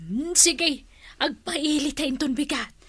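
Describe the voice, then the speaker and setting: A woman playing a high, bright, teasing village friend. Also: a little slow. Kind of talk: comedy drama acting.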